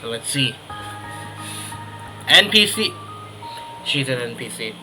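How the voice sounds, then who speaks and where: A man's voice: medium pitch, very animated, slow, rough recording. A man giving offhand, casual reactions as he plays through a story game, often in short muttered asides. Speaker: gaming video host. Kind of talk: casual playthrough reaction commentary. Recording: game music behind the voice. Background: video game music.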